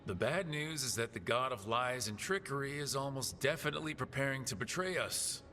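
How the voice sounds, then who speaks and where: An actor playing a pragmatic, determined fantasy hero in a video game, speaking plainly and with purpose. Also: male voice, mid-range voice, a little fast, bright, forceful tone. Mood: serious. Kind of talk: dramatic video game dialogue. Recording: music behind the voice.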